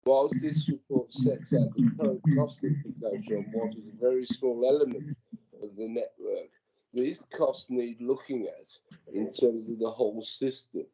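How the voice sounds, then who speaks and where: A man's voice: mid-range voice, noisy background. A male councillor asking probing questions at a formal committee meeting. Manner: measured, probing questions read from notes. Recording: muffled lo-fi meeting audio.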